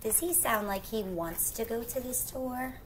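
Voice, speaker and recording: A woman's voice: on the high side, background noise. A middle-aged woman reading a children's picture book aloud expressively, with casual asides to her young listeners. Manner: expressive, drawn-out rhyming phrases, questions to the listener. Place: small indoor room at home.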